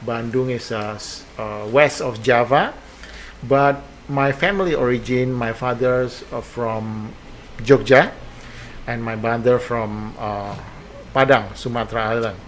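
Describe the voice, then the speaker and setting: An Indonesian man in his fifties answers interview questions in English, slowly and unhurriedly, with frequent pauses and fillers. His tone is friendly and joking. A faint hiss of noise sits under his voice. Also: mid-range voice, rough recording. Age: 50s. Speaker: guest, air force base commander.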